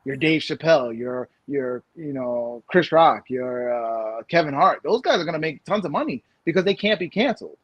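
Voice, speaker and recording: A man in his thirties from the United States, co-hosting a casual podcast chat, animated and rambling with lots of fillers and restarts. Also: on the high side, normal speed, hardly any pauses, no room sound, dull audio. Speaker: podcast co-host.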